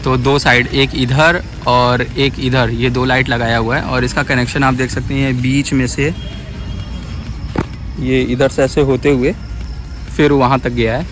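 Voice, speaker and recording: A man's voice: medium pitch, flat delivery, measured pace, background noise. A young man with a Varanasi Hindi accent talks viewers through a site, chatty and pointing things out, filmed outdoors on a phone held at arm's length. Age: young adult. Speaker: vlogger. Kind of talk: walkthrough vlog narration. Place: outdoor sports field in a city. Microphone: phone camera held at arm's length.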